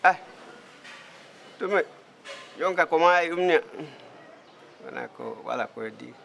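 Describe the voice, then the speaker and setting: Male villager, fairly high voice with fry, unscripted testimony, light ambient noise. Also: lively intonation, slow, a bit bright. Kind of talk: spontaneous testimony.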